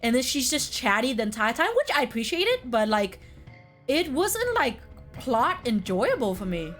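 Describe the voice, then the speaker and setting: A woman's voice: a little high, very animated, normal speed, fairly bright tone. Casual chatty woman over music. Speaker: host of a gaming tier list video. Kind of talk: casual chatty video game discussion. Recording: music playing behind the talk. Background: background music.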